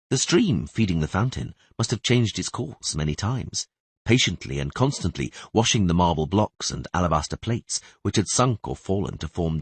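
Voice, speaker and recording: Male narrator, very breathy husky tone, dry close sound, scripted fantasy storytelling. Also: low-pitched, no room sound. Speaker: audiobook narrator.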